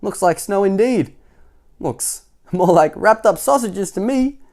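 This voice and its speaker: Storybook: man doing a high, sing-song, scatterbrained young woman, cheerful and muddled, a bit sniffly. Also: animated, unhurried, darkish tone. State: sniffly head cold.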